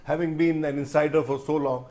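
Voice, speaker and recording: A middle-aged man with an Indian accent and a somewhat high-pitched voice gives his opinion on a panel. He speaks deliberately and with emphasis, repeating phrases and dropping in "you know" as he builds his point. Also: steady tone, dark tone. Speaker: journalist, panel guest.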